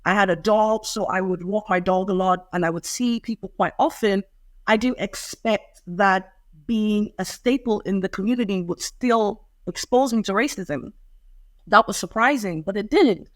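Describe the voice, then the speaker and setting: A woman's voice: medium pitch, moderate pace, dry recording. A young adult woman, answering interview questions in a reflective, thoughtful way. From Ireland. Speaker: poet and interview guest.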